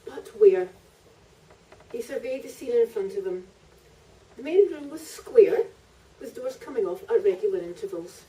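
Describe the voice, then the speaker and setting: Woman reading her novel out loud, really mellow muffled sound, bit far from the mic. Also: a little high, expressive, a little slow. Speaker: author reading from own book. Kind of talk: literary reading aloud of narrative prose. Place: live festival reading in a library venue. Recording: muffled, distant microphone at live event.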